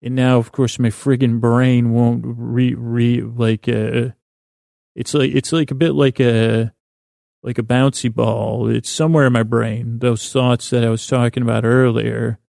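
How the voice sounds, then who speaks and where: Male bedtime podcast host: creaky, flat intonation, meandering, halting, self-deprecating, meant to lull. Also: medium pitch, dry recording, clean studio sound. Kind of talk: rambling bedtime-story podcast monologue.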